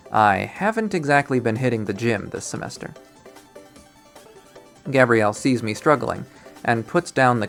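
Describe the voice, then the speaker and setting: A young man reading a visual novel aloud in first person, with a dry, wry delivery. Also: mid-range voice.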